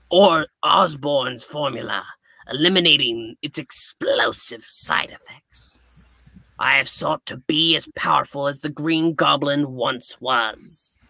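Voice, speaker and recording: A man's voice: fairly high pitch, creaky, sharp tone, dry recording, exaggerated voice, rough recording. A man voicing a grandiose, gloating, power-mad goblin supervillain from a comic book in a live dramatic reading. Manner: grandiose villainous monologuing.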